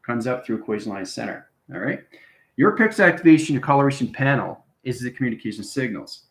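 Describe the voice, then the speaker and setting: A man's voice: medium pitch, expressive, fast talker. A man giving an informal spiritual lesson to viewers in fragmented, jargon-heavy phrases, often checking in with short tag words. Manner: esoteric jargon in fragmented phrases with frequent check-ins like "okay" and "right". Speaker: online spiritual teacher. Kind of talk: informal explanatory demonstration to viewers. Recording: roomy, echoing sound.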